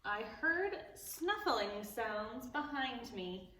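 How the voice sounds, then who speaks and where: A woman reading a picture book aloud to preschoolers at a library storytime, animated and playful, stopping to ask the children questions. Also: on the high side, drawn-out words. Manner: playful, gasps and exclaims at the story. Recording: echoey room, voice a bit far from the mic.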